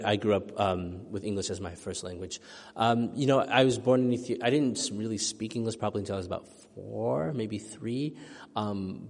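A middle-aged male author from the United States, thoughtfully answering audience questions after a reading, with many ums and restarts. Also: on the low side. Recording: long hall reverb.

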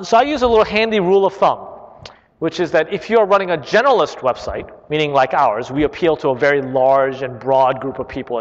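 A man in his thirties, from Korea and not a native English speaker, answering audience questions in a casual, chatty way full of "right?" and "uh". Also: medium pitch. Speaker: internet company chief executive. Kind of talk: live Q&A talk. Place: large public library hall. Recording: dull hall recording with long reverb.

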